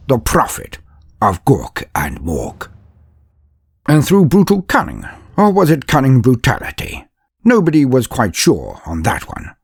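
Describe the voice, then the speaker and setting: A man's voice: medium pitch, vocal fry, a little mellow, breathy edge, no echo. A man narrating a comedic fantasy war story in a dramatic, mock-epic way with wry asides. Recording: dry close recording with faint music.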